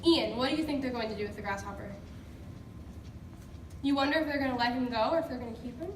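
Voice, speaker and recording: A woman's voice: a little high, fast. A woman teacher leading an interactive picture-book read-aloud for children, encouraging and prompting with questions. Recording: echoey room, distant mic, background noise.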